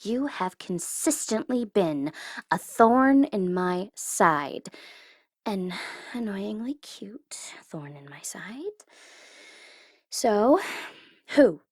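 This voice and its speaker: A woman performs a female supervillain in an intimate, dry-sounding ASMR roleplay. Her pitch swings widely as she taunts with condescension, then softens into flustered, whispery teasing. She takes her time, savouring her power over a captive hero. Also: very animated, very slow, pauses often, no echo, exaggerated voice. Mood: menacing questioning. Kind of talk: ASMR audio roleplay acting.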